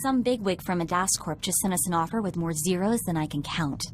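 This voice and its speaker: A woman's voice: on the low side, a little fast, a little hiss or hum, close to an everyday voice. A young woman playing a perky, savvy sidekick in a video game, speaking in a casual, eager way. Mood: excited. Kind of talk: video game cutscene acting. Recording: music behind the voice.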